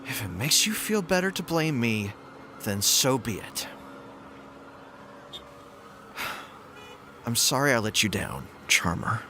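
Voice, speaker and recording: A man's voice: mid-range voice, very animated, a little slow, pushed and bright, a little hiss or hum, exaggerated voice. A man playing a weary, guilt-laden superhero mentor in an audio roleplay, with a wry and resigned manner. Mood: regretful. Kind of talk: dramatic audio roleplay acting.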